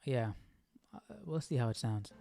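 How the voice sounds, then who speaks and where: A man's voice: mid-range voice, moderate pace, creaky, warm tone, soft background music. A man hosting an informal livestream study, reading aloud and musing as he comments into a close microphone. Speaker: livestream host. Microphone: close microphone with windscreen.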